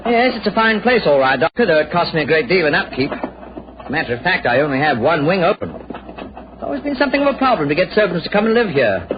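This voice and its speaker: A man's voice: fairly high pitch, sing-song, quick pace. An actor playing a courteous, worried young British nobleman in a 1940s radio drama. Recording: dull old radio recording, faint noise.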